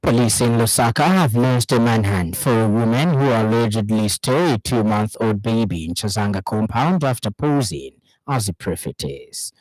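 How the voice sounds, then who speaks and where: A man with a Zambian accent reading a scripted radio news bulletin in a formal, measured announcing manner. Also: lowish voice, animated, darkish tone, dry recording, poor audio.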